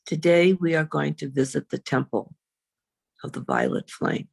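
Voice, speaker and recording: A woman reverend leading a guided meditation in a slow, soothing, unhurried way, with long pauses. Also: wildly swooping pitch, dry recording. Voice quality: low, husky, creaky.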